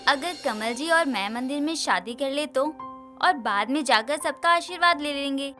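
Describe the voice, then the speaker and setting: A woman's voice: sits high, animated, normal speed, sharp tone, soft background music. A woman voicing a hopeful, innocent young woman in love in a cartoon story. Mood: hopeful, pleading. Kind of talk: Hindi cartoon story voice acting.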